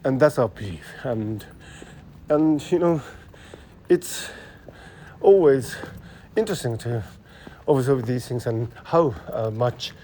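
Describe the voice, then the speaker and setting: A man with a Japanese accent thinking aloud in a halting monologue while walking city streets, speaking into a phone, full of fillers and restarts. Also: mid-range voice, lively intonation, mellow, a little hiss or hum. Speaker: podcast host. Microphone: phone held close.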